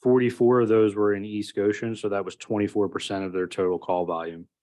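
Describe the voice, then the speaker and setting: Very deep monotone man reading figures. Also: fairly quick. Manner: matter-of-fact, formal. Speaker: township official reporting fire calls. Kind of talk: reading a report at a public meeting. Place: township meeting room.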